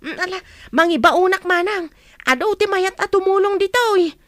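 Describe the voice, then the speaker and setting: A woman's voice: very high-pitched, normal speed, fairly bright tone, no room sound, studio quality. A woman playing a concerned, helpful younger village neighbour in a radio drama.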